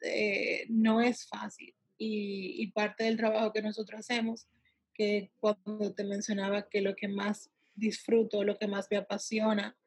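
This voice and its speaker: A woman from the United States giving conversational interview answers, pausing often as she searches for words. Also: mid-range voice, monotone, a little mellow, husky, no echo. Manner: halting, searching for words with drawn-out pauses. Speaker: interviewee, salon worker and empowerment advocate for girls.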